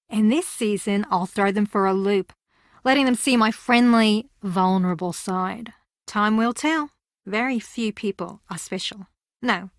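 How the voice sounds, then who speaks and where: A woman's voice: mid-range voice, no room sound, studio quality, natural voice. An actress voicing a driven, blunt young female reality-show contestant in a video game, wry and clipped. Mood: wry, reflective.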